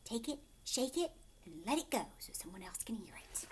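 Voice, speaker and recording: A woman teacher reading a storybook aloud to children, expressive, emphatic on key words and often asking questions. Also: fairly high pitch, moderate pace, slight background noise.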